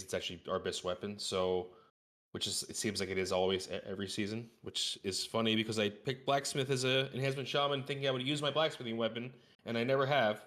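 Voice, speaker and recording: A man's voice: on the low side, a bit bright. A man talking casually as a guest on a gaming podcast, using informal gamer slang.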